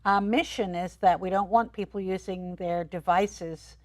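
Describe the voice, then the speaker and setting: A woman's voice: on the low side, steady tone, measured pace, no room sound. Chatty older Englishwoman, dry studio sound. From England. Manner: enthusiastic, chatty, with many ums and you knows. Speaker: art academy co-founder. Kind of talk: studio interview.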